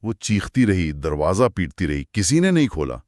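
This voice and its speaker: A man's voice: low voice, very animated, moderate pace, a little mellow, no room sound, studio quality. A man hosting a conversational horror storytelling show, narrating in dramatic, short suspenseful phrases. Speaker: host narrating horror stories.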